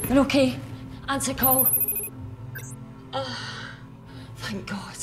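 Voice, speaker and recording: A woman's voice: high voice, slow, over music. An actress playing a cold, persuasive middle-aged woman boss in a screen drama, urgent and coolly insistent. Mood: relieved.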